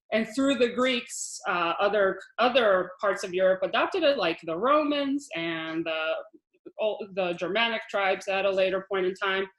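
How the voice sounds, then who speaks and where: A woman in her thirties from Israel teaching a lesson over a laptop video call, explaining in a chatty way with lots of restarts and little laughs. Her voice sits at an ordinary middle pitch for a woman. The room around her is echoey. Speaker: Hebrew teacher. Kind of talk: online lesson with shared slides.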